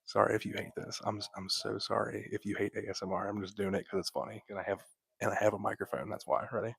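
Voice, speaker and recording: A man's voice: on the low side, flat delivery, fast talker, creaky, slightly rough, dry recording. Man whispering close to the mic. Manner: soft whispering, laid-back and chatty. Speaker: livestream host. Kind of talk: whispered late-night livestream chat. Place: at home, lying in bed. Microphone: close microphone.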